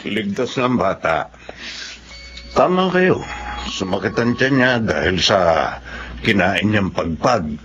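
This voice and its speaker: A lowish Filipino man's voice as a calm, serious doctor who gently admonishes. His intonation moves a lot in this audio drama. The track is muffled and noisy. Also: slow, long pauses, full character voice. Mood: serious, reassuring.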